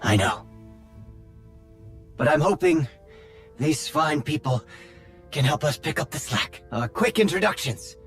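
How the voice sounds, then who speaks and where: An earnest young rebel leader. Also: male voice, on the high side, big pitch swings, a bit bright, faint music behind, heavily acted. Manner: hurried, earnest, a bit wry. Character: male rebel leader, young, quick and resourceful. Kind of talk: video game voice acting.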